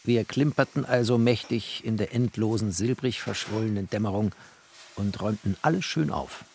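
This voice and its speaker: An actor playing a wry, bookish narrator, a poor postwar settler, in literary first-person narration. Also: male voice, on the low side, moderate pace, creaky, darkish tone, a little hiss or hum. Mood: wry, matter-of-fact. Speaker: narrator of a literary radio play.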